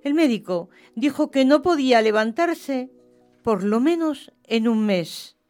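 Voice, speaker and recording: A woman narrating a literary radio play in an expressive storytelling style. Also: high voice, unhurried, dry recording. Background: music.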